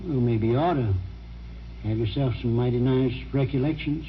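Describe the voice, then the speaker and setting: A man's voice: mid-range voice, moderate pace, natural voice. An actor playing a plain-spoken, determined small-town man with a folksy, persistent manner in an old-time radio drama. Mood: casual, nostalgic. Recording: muffled, slightly noisy vintage radio recording.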